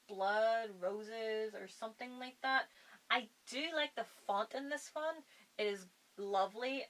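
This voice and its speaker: A woman's voice: mid-range voice, sharp tone, rough recording. A woman chatting casually and enthusiastically as a book vlogger, her voice a little strained from a lot of talking. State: strained voice from long filming. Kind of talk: casual vlog chat.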